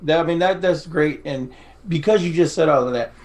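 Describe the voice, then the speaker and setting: A man's voice: a little high, a little fast. A man co-hosting a podcast interview in casual chat, rambling and complimentary as he asks questions.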